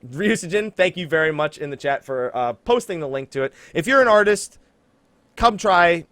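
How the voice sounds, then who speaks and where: A man's voice: fairly high pitch, lively intonation, normal speed, a bit bright, no room sound. An American man hosting a casual gaming podcast, talking informally and joking as he makes announcements. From New Jersey, USA. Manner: jokey, informal, breaks off to laugh and ad-lib. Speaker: podcast host.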